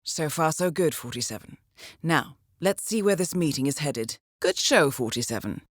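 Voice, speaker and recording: An actress playing a coolly professional handler who briefs an assassin in a video game, speaking in a composed, formal with dry understatement. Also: female voice, low voice, lively intonation, normal speed, no echo. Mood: dryly approving.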